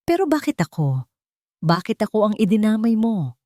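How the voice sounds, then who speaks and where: A woman's voice: medium pitch, very animated, normal speed, a little mellow, no room sound, pitched above the performer's own voice, lightly acted. Frightened yet defiant working-class heroine, trembling, pleading female voice acted by a woman narrator, dry audio drama sound. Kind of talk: dramatic audio story acting.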